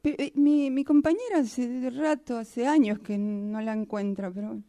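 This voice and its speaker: A woman voicing a weary, overused sock in a halting, repetitive, plaintive radio-theatre monologue. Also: fairly high pitch, warm tone, close to an everyday voice. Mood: aggrieved. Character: weary, overused female sock complaining about its owner.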